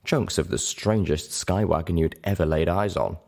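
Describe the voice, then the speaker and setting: A man's voice: deep voice, normal speed, vocal fry, a little mellow. A man voicing a guarded, thoughtful grey female unicorn telling her tales in a storybook reading. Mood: matter-of-fact, wary.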